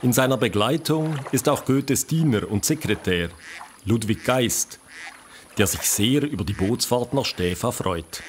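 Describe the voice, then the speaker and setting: A man narrating a scripted documentary radio play as its storyteller, with a husky voice. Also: mid-range voice, lively intonation, a little slow, slight background noise.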